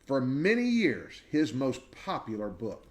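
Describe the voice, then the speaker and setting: A man in his sixties reading a children's biography aloud in a measured, expressive storyteller's way, with dramatic pauses. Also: medium pitch. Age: 60s. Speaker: read-aloud narrator.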